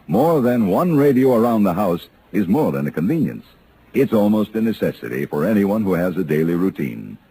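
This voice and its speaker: Low smooth-toned guy, vintage radio announcer, lots of pitch movement. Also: dark tone, slight background noise. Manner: polished, declarative. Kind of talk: 1950s radio announcing, scripted. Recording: old radio broadcast recording.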